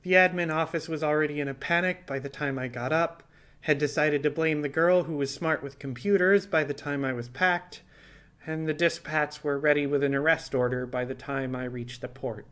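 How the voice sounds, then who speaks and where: A man's voice: fairly high pitch, steady tone, normal speed, a bit bright, pitched above the performer's own voice, lightly acted. A man voicing a defiant, earnest young female hacker on the run in an audiobook, explaining herself with wry asides. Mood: rueful, agitated. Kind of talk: audiobook character dialogue.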